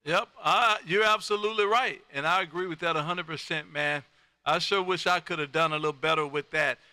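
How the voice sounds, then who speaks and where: A man's voice: fairly high pitch, a little fast, bright, forceful tone, clear, resonant tone, dry recording. A folksy, exuberant man, chatting casually as a ham radio host who peppers his talk with "man". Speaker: amateur radio operator and live stream host.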